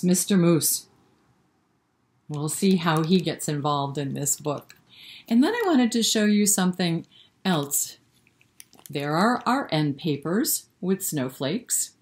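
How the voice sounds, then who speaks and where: Woman, slightly low pitch, children's story time host, interactive, encouraging, speaks directly to young listeners. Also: a little mellow. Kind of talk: interactive read-aloud for young children, with singing.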